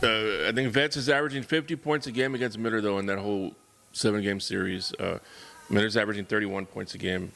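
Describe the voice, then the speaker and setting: A man's voice: lowish voice, fairly even intonation, fast, creaky, a bit bright, faint music behind. A man, co-hosting a casual sports chat, blunt and swearing freely. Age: 40s. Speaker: co-host.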